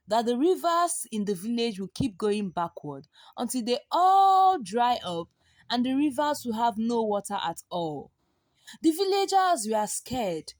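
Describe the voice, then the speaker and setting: Slow, animated woman storyteller. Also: on the high side, no room sound. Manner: expressive, with gasps and drawn-out repeated words for effect. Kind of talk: dramatic folktale storytelling.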